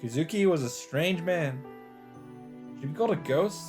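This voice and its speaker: A man's voice: a little high, lively intonation, normal speed. A man hosting a let's play, reading a visual novel's narration aloud in a dramatic storytelling style. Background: game music.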